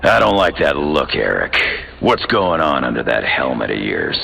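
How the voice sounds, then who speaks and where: A surly mutant brawler for a video game. The voice is extremely deep and gravelly, with a hard, forceful edge. He talks bluntly, like someone with no patience. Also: very animated, normal speed, slight background noise, full character voice. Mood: gruff, wary. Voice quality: gravelly, growling. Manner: blunt, gruff, informal.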